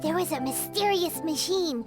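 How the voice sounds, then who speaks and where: Casting: excitable small girl adventurer, video game, very high pitch, highly expressive intonation. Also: moderate pace. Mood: curious. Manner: childlike, excitable, speaks of herself in third person. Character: eager, curious little girl adventurer. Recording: background music under dialogue.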